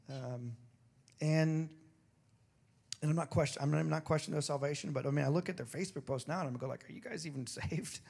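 A man preaching a sermon with a throaty, hoarse voice, moving between emphatic declarations and casual, joking asides. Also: on the high side. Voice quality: gravelly, throaty. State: hoarse after singing. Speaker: pastor.

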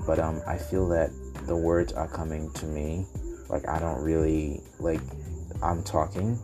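A man with a Haitian accent, a native Haitian Creole speaker, talking unscripted as a podcast host in a halting way, searching for words and repeating phrases. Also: rumbling low, level tone, music in the background. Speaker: podcast host and language learner. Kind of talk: unscripted solo podcast talk.

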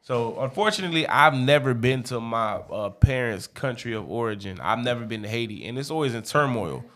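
A man chatting casually with his friends, full of slang and laughter. His tone is bright and his pitch swings widely as he talks. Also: brisk. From Florida. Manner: slangy, animated, laughs often. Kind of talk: casual chat among friends.

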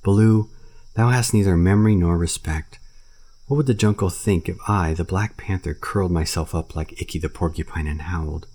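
A man voicing a proud, stern male panther who scolds slowly and impatiently. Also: deep voice, a little mellow. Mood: scornful.

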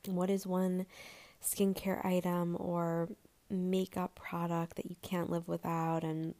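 A woman hosting her own podcast in a gentle, rambling chat, her voice a little raspy and hoarse, with plenty of fillers. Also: lowish voice, flat delivery, slow. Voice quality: raspy, breathy. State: slightly hoarse. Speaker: podcast host. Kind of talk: solo podcast intro, casual chat.

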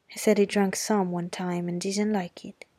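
A woman's voice: medium pitch, little pitch movement, normal speed, mellow, no room sound, natural voice. Woman reading as a feisty girl. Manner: blunt and defiant. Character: feisty, stubborn young Southern girl.

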